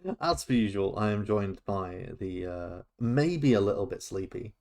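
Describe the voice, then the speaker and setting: A man's voice: fairly low pitch, animated, a little slow, darkish tone. A young man hosting a casual podcast, chatty, laughing often and tripping over his words. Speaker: podcast host. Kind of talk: casual chat.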